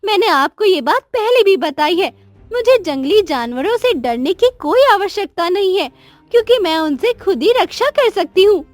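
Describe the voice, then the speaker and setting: A woman's voice: very high-pitched, animated, normal speed, no room sound, voice pushed up. A woman voicing an earnest young queen and devoted mother in a cartoon moral story, speaking with feeling. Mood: resolute.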